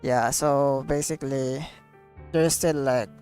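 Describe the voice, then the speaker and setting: A woman giving casual live commentary on a game story, halting and repeating herself as she searches for words. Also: rumbling low, fairly even intonation, a little mellow, faint music behind. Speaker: streamer reacting to a game story.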